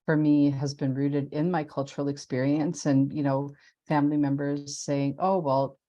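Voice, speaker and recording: A woman leading a writing workshop on a video call, speaking thoughtfully with pauses and fillers, whose first language was an Italian dialect. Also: deep voice, little pitch movement, mellow, muffled recording. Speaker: workshop facilitator. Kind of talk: casual group talk on a video call.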